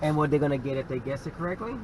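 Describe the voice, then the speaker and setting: A man's voice: on the high side, rapid-fire, noisy background. A man with a Southern accent hosting a vlog, chatting casually and rambling with fillers while driving his car. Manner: laid-back, rambling with fillers. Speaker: vlog host. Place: inside a car.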